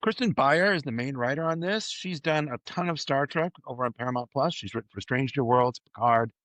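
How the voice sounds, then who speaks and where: A man's voice: mid-range voice, fairly quick, a bit bright, no echo. A man talking casually as a podcast panelist, explaining things and often correcting himself as he goes.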